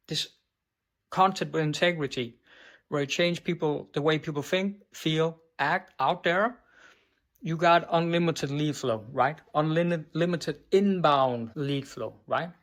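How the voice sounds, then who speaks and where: A man with a Danish accent coaches on business in a blunt, profane monologue, his voice pitched a little high. He tacks "right?" onto his points and trips over words, then just keeps going. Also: fairly bright tone. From Denmark. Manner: stumbles and restarts words, unbothered. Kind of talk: direct-to-camera monologue.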